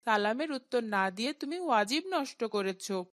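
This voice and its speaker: A woman's voice: on the high side, lively intonation, normal speed, a bit bright, no echo, clean studio sound. Woman voicing a polite cartoon boy. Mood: earnest. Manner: earnest, respectful. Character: polite well-mannered young boy.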